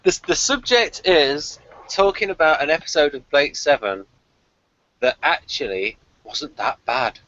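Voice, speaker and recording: A man's voice: a little high, lively intonation, measured pace, a bit bright, lo-fi sound. A man hosting a casual, playful chat review of a TV episode. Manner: playful, bantering. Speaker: podcast host.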